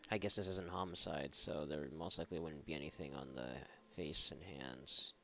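A man's voice: rumbling low, steady tone, normal speed, sharp tone, a little hiss or hum. A man giving casual, rambling and sarcastic let's play commentary, swearing freely as he goes. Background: video game ambience.

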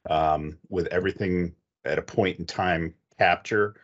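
A man's voice: low-pitched, husky. A middle-aged man giving methodical, conversational technical advice as a panelist on an online video call. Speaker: expert panelist. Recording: dull, muffled online call audio.

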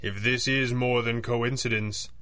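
An actor playing a suave, unflappable masked vigilante in 1930s radio style, formal and arch with dry menace. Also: male voice, medium pitch, fairly even intonation, a little slow, pushed and bright. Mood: quietly threatening.

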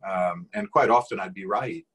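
A man in his forties from Brazil, with Portuguese as his first language, speaks on a webcam video call from a small room at home. His voice sits low and a little husky. He talks thoughtfully, often restarting and repeating words as he goes. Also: moderate pace, fairly bright tone. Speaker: guest.

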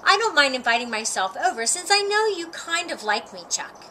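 A woman's voice: high-pitched, sing-song, normal speed, bright, forceful tone, a little hiss or hum, exaggerated voice. A woman voicing a pushy, blunt, indignant young girl in a storybook. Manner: blunt, talks over others.

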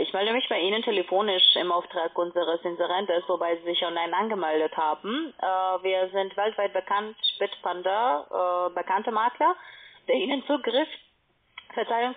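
Insistent saleswoman on a tinny phone. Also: mid-range voice. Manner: insistent, formal, halting non-native phrasing. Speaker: call centre telemarketer. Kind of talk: telephone sales pitch. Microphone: telephone call. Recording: narrow, tinny phone line.

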